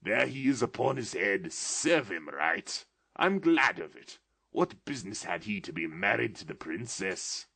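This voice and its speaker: A man voicing an old male miser who exclaims in a drawn-out way, between laughing and crying. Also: lowish voice, lively intonation, creaky, a bit bright, slightly rough, voice pushed up, full character voice. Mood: ecstatic. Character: old male miser, tearful, wildly excited.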